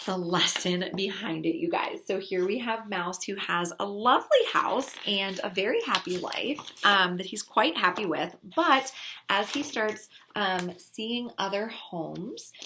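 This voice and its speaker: Woman recommending books over clear background noise. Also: medium pitch. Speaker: children's book reviewer. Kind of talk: casual book recommendation, showing pages. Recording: clearly noisy recording with clicks.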